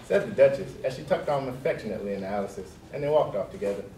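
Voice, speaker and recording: Male storybook narrator, fast, mellow delivery, recorded at a distance with some noise. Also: medium pitch, animated. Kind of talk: scripted storybook narration on stage. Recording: echoey room, distant mic, noisy.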